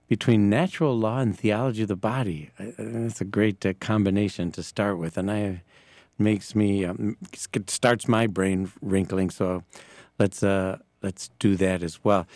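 Halting male radio host, low dry voice. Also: darkish tone, dry recording. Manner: halting, hesitant, stammering delivery. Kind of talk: casual live radio interview. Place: radio broadcast from a church.

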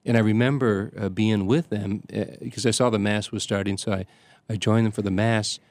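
An older priest reminisces in a rambling, unhurried way, stopping and circling back with plenty of ums and uhs. His voice sits low, and the sound is dry, with no room echo. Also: fairly even intonation. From Chicago area. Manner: rambling, halting, many restarts and fillers. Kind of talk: conversational storytelling.

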